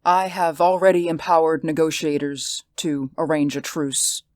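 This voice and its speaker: Male narrator doing a high, quiet, steely woman leader, composed and hard. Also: little pitch movement, moderate pace, no room sound, clean studio sound, lightly acted. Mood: grave. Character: cool, commanding older woman council leader.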